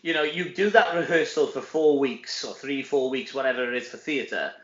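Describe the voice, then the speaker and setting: A man's voice: medium pitch, normal speed. A middle-aged Welsh man chatting casually as an interview guest, rambling and conversational. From Wales. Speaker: interview guest, stage actor. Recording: roomy echo, dull lo-fi audio.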